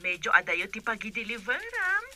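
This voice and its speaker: Bossy friend on the phone, Ilocano accent, sharp, highly animated, fairly high. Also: female voice, moderate pace, no room sound, full character voice. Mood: brisk. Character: bossy friend giving orders over phone. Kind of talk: Ilocano radio comedy drama acting.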